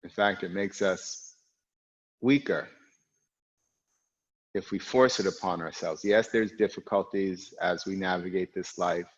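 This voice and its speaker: A man from the United States with a lowish, strong voice leads a yoga class. He talks calmly and reflectively, with pauses between phrases. The sound is dull and lo-fi. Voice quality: loud, strong voice. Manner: calm, reflective, speaks in unhurried phrases with pauses. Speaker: yoga teacher. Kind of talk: guiding an online yoga class. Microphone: recorded for an online class video.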